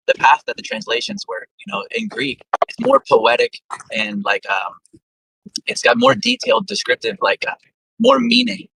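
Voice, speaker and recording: A man in a casual discussion, explaining in an animated way. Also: on the high side, vocal fry, rough recording. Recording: faint background noise.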